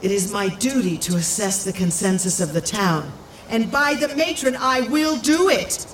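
A woman playing a dutiful elderly grandmother who leads a village, speaking formally and with measured gravity in a fantasy audio drama. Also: medium pitch, reverberant, slight background noise, close to an everyday voice, low-quality recording. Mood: solemn, presiding.

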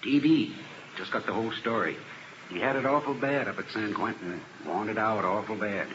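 Actor as a weary, matter-of-fact cop. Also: male voice, on the low side, normal speed, lightly acted. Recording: dull, noisy old radio recording.

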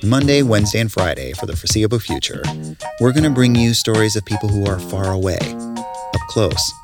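A man hosting a podcast, reading scripted narration in a friendly, measured way. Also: lowish voice, lively intonation, over music. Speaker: podcast host.

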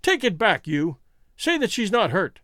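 A man's voice: high voice, sing-song, moderate pace, bright, forceful tone, dry recording, clean studio sound, full character voice. A man voicing a fierce, lovesick American criminal in an audiobook story, with a bitter, blustering manner. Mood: fierce, distraught.

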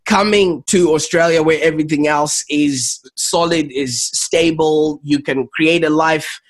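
Zimbabwean man with a slightly high voice. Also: fairly even intonation, measured pace. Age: adult, 28 or older. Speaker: interview guest, entrepreneur and coach. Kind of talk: conversational personal storytelling.

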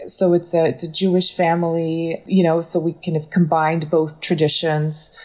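A woman's voice: low-pitched, level tone, normal speed. A middle-aged woman who grew up in Russia, speaking as a photographer guest in an interview, thoughtful with light laughs and fillers. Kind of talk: conversational interview. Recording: dull lo-fi audio.

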